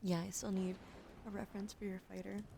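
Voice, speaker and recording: Young woman, soft ASMR talker, husky fry in the voice, half-whispering close to the mic, hissy home recording. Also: medium pitch, flat delivery, a little fast. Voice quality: gravelly fry, often whispered. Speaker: streamer doing tarot readings. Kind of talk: casual ASMR chat, soft-spoken.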